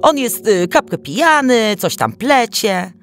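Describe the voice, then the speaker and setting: A protective village mother, voiced by a woman storyteller, speaks firmly and shrewdly. She instructs like someone who knows better. Music is clearly heard behind the folk tale. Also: on the high side, big pitch swings, unhurried, voice pushed up. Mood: brisk, dismissive.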